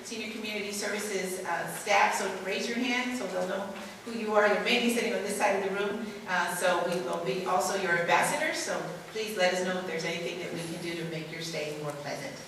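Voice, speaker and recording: Female welcome speaker, friendly, distant in a reverberant hall with clear background noise. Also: mid-range voice, a little fast, fairly bright tone. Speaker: local welcome staff. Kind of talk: welcome announcement. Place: large convention center meeting room.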